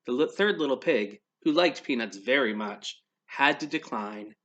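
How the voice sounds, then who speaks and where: A man reading a storybook aloud to children in an expressive, teacherly way, putting questions to his listeners. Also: medium pitch, dull audio. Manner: pauses to quiz young listeners.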